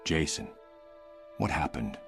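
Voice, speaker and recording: A man playing a brooding, stern vigilante mentor in a superhero comic dub, with terse, grave, gravelly delivery. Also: very deep voice, very animated, slow, music in the background, full character voice. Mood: pleading, sorrowful. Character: brooding, stern male vigilante, stubborn guilt-ridden mentor. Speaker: voice actor in a fan comic dub.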